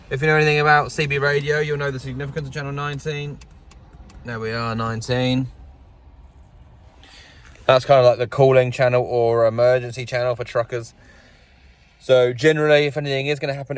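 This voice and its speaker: A man's voice: medium pitch, fairly bright tone. A man with a British accent chatting casually to camera with wry, understated humour, as a radio hobbyist vlogger. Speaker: radio hobbyist vlog host. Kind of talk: casual vlog chat to camera. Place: inside a parked pickup truck.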